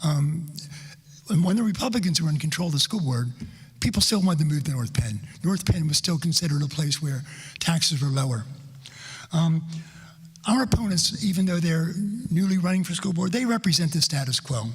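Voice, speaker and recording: A man's voice: on the high side, lively intonation, normal speed, breathy edge. A man running for school board, making pointed, argumentative debate points. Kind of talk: town hall debate statement. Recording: reverberant hall.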